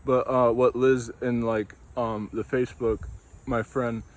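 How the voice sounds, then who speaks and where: Rambling young man, flat delivery. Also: medium pitch, unhurried. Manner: meandering, tangential, many fillers. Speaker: vlogger. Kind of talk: rambling casual monologue.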